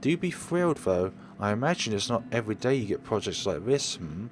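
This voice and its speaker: A man's voice: on the low side, very animated, fairly quick, a little hiss or hum. A man voicing an enthusiastic, genteel wealthy woman from a horror visual novel, with gushing, polite phrasing.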